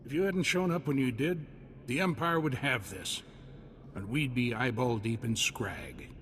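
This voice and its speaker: An actor playing a hearty Republic military officer in a video game, talking in a folksy, emphatic, commanding way. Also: male voice, medium pitch, animated, unhurried, fairly bright tone. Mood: grateful, hearty. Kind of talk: scripted video game dialogue. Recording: long reverb, faint noise and ambience.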